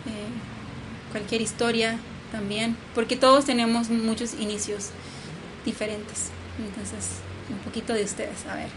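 A woman's voice: a little high, little pitch movement, unhurried. A woman hosting an interview, asking questions in a friendly way. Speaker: interview host. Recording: noisy background ambience.

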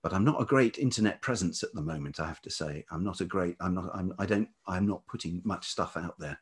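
A man speaking as an interview guest in a reflective, conversational way, thoughtful and halting as he searches for words. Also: low voice, breathy edge. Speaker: interview guest, writer and musician.

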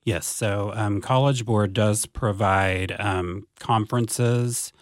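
A man in his forties talks about his work at a deliberate, unhurried pace, pausing on ums and restarting his phrases. His voice sits on the low side. The sound is dry and close. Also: steady tone, no echo. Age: 40s. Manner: slow, measured, with many ums and restarts. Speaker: district advanced academics coordinator. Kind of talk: podcast interview guest.

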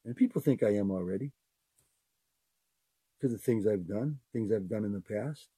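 Husky older man, earnest rambling host. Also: lowish voice, normal speed, warm tone, dry recording. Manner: earnest, rambling, repeats phrases. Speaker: podcast host. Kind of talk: unscripted heartfelt monologue.

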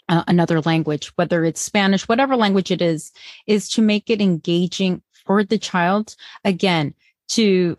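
A woman's voice: on the low side, dry recording, studio quality. A woman from Colombia, a bilingual parenting educator, answering interview questions in an earnest, encouraging way with frequent restarts.

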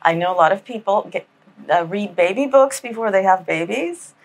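A woman from Miami, a writer appearing as a podcast guest, speaking candidly and reflectively with soft fillers. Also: mid-range voice, expressive, moderate pace. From Miami, United States. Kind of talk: candid conversational interview.

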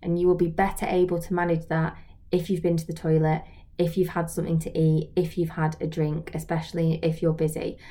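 A woman's voice: sits low, monotone, a little fast, warm tone. A woman giving an informal instructional talk, reflective and explanatory, often putting questions to the listener. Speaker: social work trainer and video host.

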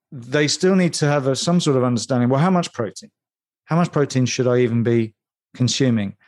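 A man co-hosting a discussion, setting up the topic in a measured, structured way. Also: medium pitch, darkish tone. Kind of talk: conversational expert discussion.

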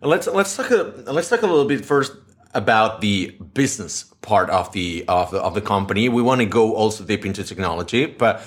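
Low-voiced chatty male podcast host. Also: big pitch swings, fairly quick. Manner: chatty, many restarts and fillers. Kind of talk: casual interview. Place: Madrid, Spain.

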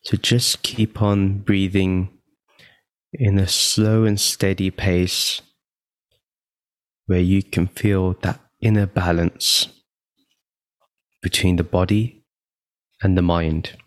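Male meditation guide, very low pitch, unhurried and calm delivery with long pauses, dry close sound. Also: dry recording.

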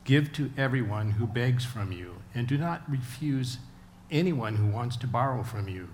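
Man reading scripture deliberately. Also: medium pitch, animated, darkish tone, a little hiss or hum. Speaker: volunteer scripture reader.

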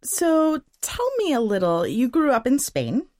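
A woman's voice: sits high, very animated, unhurried, a little mellow, no echo, studio quality. A woman interviewing a film director, asking friendly questions and sometimes tripping over her words. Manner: friendly, slightly tongue-tied.

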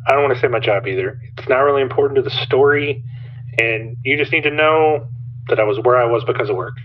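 An actor playing a defensive, cagey anonymous radio caller, hesitant and self-correcting, through a phone-line filter in an audio drama. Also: male voice, mid-range voice, fast talker. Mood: nervous, defensive. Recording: thin phone-like band-limited line.

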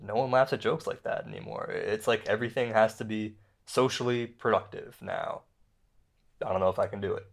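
An actor playing an insecure, self-pitying stand-up comedian in a radio play, speaking in a halting, conversational way. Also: male voice, fairly low pitch, fairly even intonation, lightly acted. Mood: frustrated, defensive. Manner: halting, conversational, with repeated words. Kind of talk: naturalistic radio play dialogue.

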